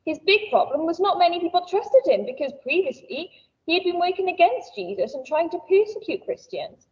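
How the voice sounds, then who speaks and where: A woman's voice: very high-pitched, sing-song, brisk. A woman teacher giving a recorded school assembly, cheerful and encouraging as she talks to children. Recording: dull lo-fi sound, a bit distant.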